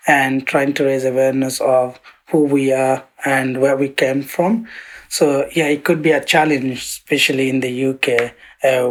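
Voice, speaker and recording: A man from Burma speaks as a guest about his community's work. His delivery is earnest and steady, padded with small fillers. His pitch is middling. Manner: earnest, uses fillers like "to be honest with you". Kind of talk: casual conversational interview.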